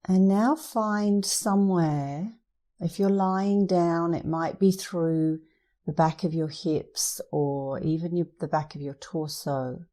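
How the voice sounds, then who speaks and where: A woman meditation instructor leading a guided meditation in a soothing, gentle, unhurried manner. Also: lowish voice, steady tone.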